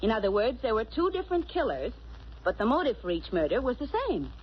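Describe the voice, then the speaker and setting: An actress playing a brisk, wry, loyal detective's assistant, in 1940s radio drama style. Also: female voice, a little high, sing-song. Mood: deliberate, triumphant. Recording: noisy, muffled old radio recording.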